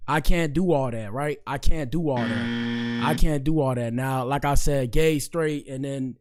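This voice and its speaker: Hoarse, slangy man hosting a livestream. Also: mid-range voice, a little slow. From Miami-Dade County, Florida. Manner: loose, slangy, rambling, talks straight to the chat. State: hoarse, has partly lost his voice. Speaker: podcast host. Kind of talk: casual livestream commentary.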